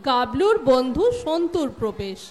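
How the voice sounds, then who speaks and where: A woman's voice: high-pitched, sing-song, normal speed, darkish tone. A woman reading scripted narration that sets the scene in a staged audio drama. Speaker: narrator, schoolteacher. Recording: stage recording with audience noise.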